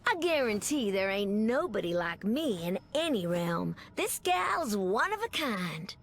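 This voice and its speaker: Sassy, creaky-voiced Southern superheroine. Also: female voice, medium pitch, big pitch swings, unhurried, a bit bright. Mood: sassy, confident. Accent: American South. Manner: sassy, folksy, uses pet names. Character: sassy, flirty, proud Southern superheroine, loyal wife. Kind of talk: video game voice acting.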